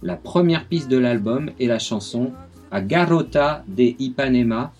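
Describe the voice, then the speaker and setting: A man's voice: medium pitch, expressive, a little slow, a little mellow. A man giving a radio music history segment, telling the story with enthusiasm.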